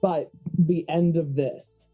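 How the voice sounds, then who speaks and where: Very mellow-voiced woman playing a tabletop game. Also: deep voice, fairly even intonation, takes it slowly, soft background music. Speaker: player in a tabletop roleplaying game. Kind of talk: improvised in-character narration and casual table talk.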